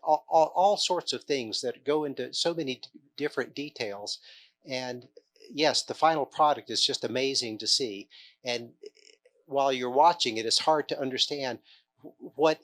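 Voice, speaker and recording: Man, bright clear-edged tone, mid pitch, unscripted interview answers, halting, stammering, repeated words. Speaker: film extra interviewed at an event.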